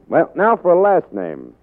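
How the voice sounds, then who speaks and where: An actor playing a blunt, shrewd studio boss, gruff, musing and decisive, in 1940s radio style. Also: male voice, on the high side, huge pitch swings, slow pace, heavily acted. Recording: humming, narrow-band 1940s radio recording.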